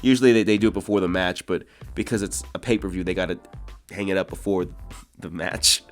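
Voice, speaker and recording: A man's voice: low voice, steady tone, fairly quick, soft background music. A young man co-hosting a casual wrestling chat, recapping in a wry, giggly way. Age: young adult. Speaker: co-host.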